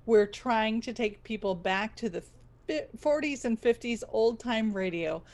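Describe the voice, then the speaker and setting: An actress playing a desperate, bossy radio station owner in an audio comedy, theatrical and commanding. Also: female voice, fairly high pitch, a little slow, a little mellow. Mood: anxious welcome. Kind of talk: comedy audio drama acting.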